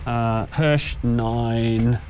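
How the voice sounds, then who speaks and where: A man acting as game master, narrating a tabletop roleplaying game in a casual, wry and slightly halting way. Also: medium pitch, fairly even intonation, a little mellow. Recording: music playing behind the voice. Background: background music.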